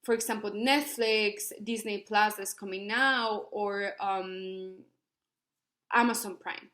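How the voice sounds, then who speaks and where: A woman's voice: mid-range voice, steady tone, slow pace, fairly bright tone. A young woman from Ecuador, giving an earnest academic presentation with many fillers and restarts. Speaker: student researcher presenting.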